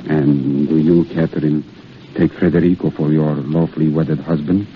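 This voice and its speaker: An actor playing a weary, gentle army priest, halting and solemn, in an old radio drama. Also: male voice, extremely low pitch, fairly even intonation. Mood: solemn, tender. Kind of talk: 1930s-40s radio drama acting. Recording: muffled, noisy old radio broadcast.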